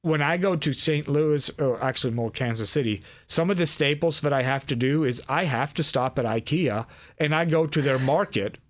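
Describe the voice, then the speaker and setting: A man's voice: on the high side, normal speed. A young man from Sweden, a podcast guest, talking casually with many restarts and fillers. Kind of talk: casual interview chat.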